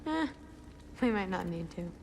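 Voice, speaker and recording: A woman's voice: medium pitch, big pitch swings, unhurried, creaky, darkish tone, slight background noise. A woman voicing a tough, plucky young warrior daughter in a fantasy video game, with a casual, matter-of-fact manner. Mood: teasing, casual.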